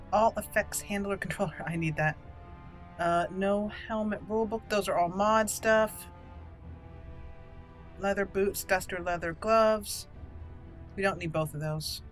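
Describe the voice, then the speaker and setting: A woman's voice: mid-range voice, takes it slowly, long pauses, faint music behind. A woman giving casual commentary while she plays and roleplays through a video game. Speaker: gaming channel host playing a roleplay.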